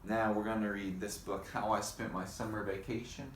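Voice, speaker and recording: A man teaching young children in a read-aloud lesson, speaking in simple, deliberate phrases and putting questions to them. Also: lowish voice, steady tone. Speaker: elementary school teacher. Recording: echoey room with faint background noise.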